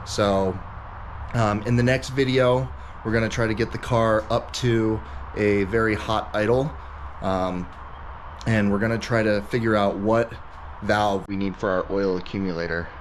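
A man's voice: lowish voice, steady tone, a little slow. An American man in his thirties explaining things casually as a vlog host, plain-spoken and matter-of-fact. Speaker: car builder and YouTube host. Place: driveway and open home garage. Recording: clear outdoor and garage ambient noise.

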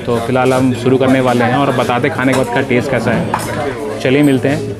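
A man's voice: mid-range voice, flat delivery, fairly quick. A man in his thirties filming a casual walking-tour vlog on a handheld phone, chatting to his viewers and explaining prices as he moves between a parking lot and a restaurant. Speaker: travel vlogger. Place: outdoor parking lot and airplane restaurant interior. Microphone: handheld phone camera, selfie framing.

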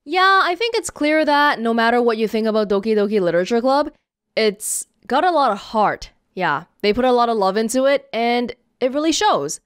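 A woman's voice: on the high side, animated, moderate pace, clean tone. A woman giving casual commentary as the host of a video game let's play.

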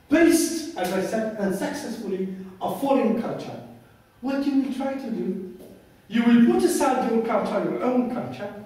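A middle-aged man from Algeria, English not his first language, giving a formal university lecture and firing rhetorical questions at his audience. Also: extremely high pitch. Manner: animated, asks himself rhetorical questions. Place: lecture room. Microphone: far camera. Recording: echoey room from far off.

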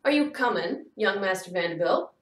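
An encouraging gentleman with a Southern US drawl, voiced by a woman narrator, speaks with polite poise. It is storybook acting, set slightly back from the mic in an echoing room. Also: medium pitch, expressive, moderate pace, lightly acted. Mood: encouraging, courteous. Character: handsome, encouraging Southern gentleman, smooth and courteous. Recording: roomy echo, distant from mic.

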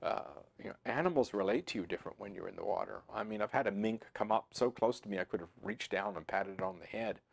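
A man's voice: lowish voice, fast, vocal fry, hardly any pauses. Older guy at his desk chatting about fly fishing, laid back, lots of ums and restarts. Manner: relaxed, conversational, with frequent fillers and restarts. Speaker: fly fishing channel host. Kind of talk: to-camera instructional talk. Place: home office with computer screens, indoors.

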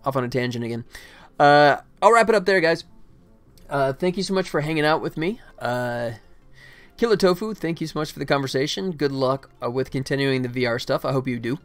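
A man's voice: medium pitch, animated, fairly quick. A middle-aged man chatting casually on a game developer livestream, rambling and jokey in a self-deprecating way, speaking into a desktop mic indoors. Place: indoor room at a computer. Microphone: desktop microphone.

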